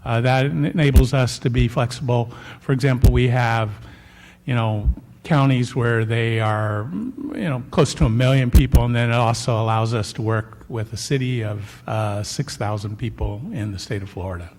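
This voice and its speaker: A man's voice: medium pitch, normal speed, a little mellow. Man, big voice, vendor fielding council questions, hall reverb. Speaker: software vendor representative. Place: city council chamber.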